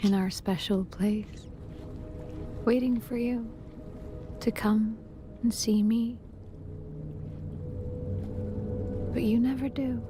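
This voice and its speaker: Slow, soft-spoken dying wife, sorrowful. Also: female voice, a little high, steady tone. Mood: wistful longing. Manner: soft, breathy, halting, sometimes whispered. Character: gentle dying young wife reading her sorrowful farewell letter. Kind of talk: dramatic video game voice acting, letter monologue. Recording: game audio with clear background music. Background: game soundtrack music.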